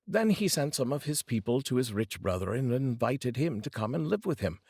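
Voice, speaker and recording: Guy reading a folk tale out loud, lowish husky voice, expressive, no room sound. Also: normal speed, no room sound, studio quality. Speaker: storyteller, narrator. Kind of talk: expressive read-aloud folk tale narration.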